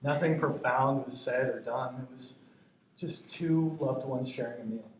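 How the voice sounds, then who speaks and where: A man's voice: medium pitch, unhurried, natural voice. A man acting a tender grandson in his twenties in a stage monologue, confiding in his departed grandmother in a reflective, hesitant, conversational way. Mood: nostalgic, tender. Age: 20s. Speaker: stage actor in a student play. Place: indoor stage, recorded from afar. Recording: echoey, distant stage recording with noise.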